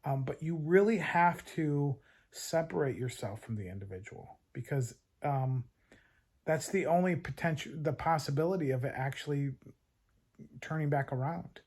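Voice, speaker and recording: Guy coaching on dating, kinda high voice, earnest, restarts his sentences a lot. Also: normal speed, a little mellow. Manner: earnest, repeats words when restarting. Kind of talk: advice talk to camera.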